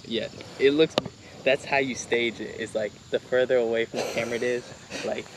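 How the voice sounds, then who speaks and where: A man's voice: medium pitch, steady tone, brisk, noisy background. A man bantering and joking with a friend while filming a fishing trip outdoors. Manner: joking, argues back playfully. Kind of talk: casual banter.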